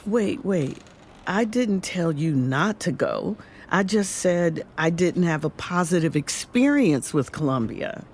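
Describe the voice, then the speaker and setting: A woman's voice: lowish voice, expressive, takes it slowly, a little mellow, slight background noise. An actress playing a wise, patient older grandmother in an audio drama. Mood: gently defensive.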